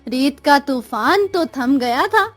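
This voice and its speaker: Slow-paced woman narrating a story. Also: sits high, animated, fairly bright tone, dry recording, faint music behind. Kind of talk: scripted moral story narration.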